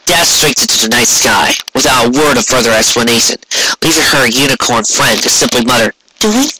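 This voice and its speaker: A man's voice: mid-range voice, fairly quick. A man reading scripted story narration on an amateur recording with noise and clicks. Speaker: audiobook reader. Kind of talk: scripted fan fiction narration.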